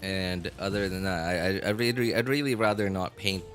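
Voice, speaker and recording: A man livestreaming games in casual chat, talking in a halting, rambling way and often repeating himself. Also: low voice, fairly even intonation, a bit bright. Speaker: live game streamer. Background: music.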